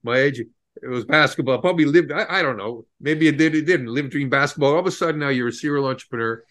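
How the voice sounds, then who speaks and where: A man's voice: medium pitch, fast, fairly bright tone. A man hosting a podcast in a casual, enthusiastic way, often laughing and restarting his sentences. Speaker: podcast host.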